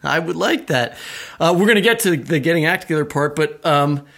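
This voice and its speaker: An American man speaks in a somewhat high-set voice as a podcast co-host. His delivery moves between prepared introductions and informal, conversational asides. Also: lively intonation, a little fast, gravelly, fairly bright tone. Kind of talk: conversational podcast hosting, partly scripted.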